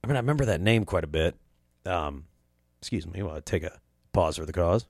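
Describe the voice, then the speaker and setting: A young man talks through a loose, profanity-laced story on his podcast, his throat scratchy from allergies. His voice sits fairly low. The room is dry, with no echo. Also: animated, a little fast, a little mellow. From Quad Cities area, US Midwest. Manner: profane, rambling, laid-back storytelling. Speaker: podcast host. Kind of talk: casual storytelling monologue.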